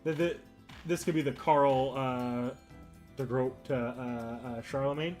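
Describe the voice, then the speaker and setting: A man giving casual gameplay commentary as a streamer, rambling and often halting to correct himself mid-sentence. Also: mid-range voice, steady tone, a little mellow. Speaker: game streamer and host. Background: music.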